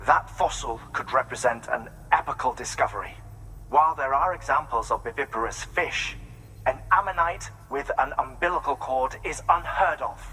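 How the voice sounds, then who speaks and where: An actor playing an intense, formal researcher obsessed with discovery in a video game, speaking in a grave, measured manner. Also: male voice, medium pitch, bright, forceful tone, husky, rough recording. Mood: intense, urgent. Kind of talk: video game cutscene dialogue.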